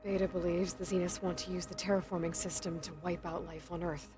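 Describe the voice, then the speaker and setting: A woman's voice: lowish voice, little pitch movement, fairly quick, lightly acted. A woman voicing a determined young huntress. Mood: thoughtful. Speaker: game protagonist.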